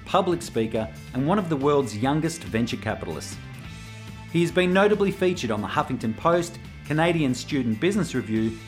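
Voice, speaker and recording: A man hosting a coaching podcast, introducing a guest and asking long, hesitant questions. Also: medium pitch. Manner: hesitant, many fillers and restarts when asking questions. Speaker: podcast host. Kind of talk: podcast interview.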